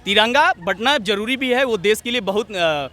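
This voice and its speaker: Young man, high-pitched, forceful bright tone, earnest, speech-like phrasing, street interview. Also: lively intonation, normal speed. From Jharkhand, India. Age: young adult. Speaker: student leader being interviewed. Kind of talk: opinionated street interview answers.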